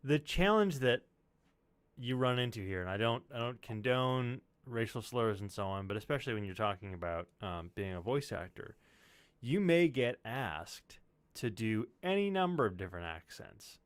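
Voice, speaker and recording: A man's voice: medium pitch, expressive, moderate pace, smooth, no echo. A man in casual live-stream chat, joking and self-deprecating, swearing freely. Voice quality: voice actor.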